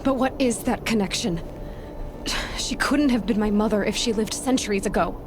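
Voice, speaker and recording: A woman playing a determined, sharp-tongued young huntress in a game cutscene, weary and sarcastic. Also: medium pitch, moderate pace, lightly acted. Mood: questioning. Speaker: game protagonist. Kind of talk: video game cutscene acting. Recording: game audio with clear background noise.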